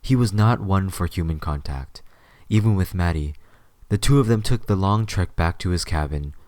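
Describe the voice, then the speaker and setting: Deep-voiced male story narrator. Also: animated, moderate pace, a little mellow, no room sound, studio quality.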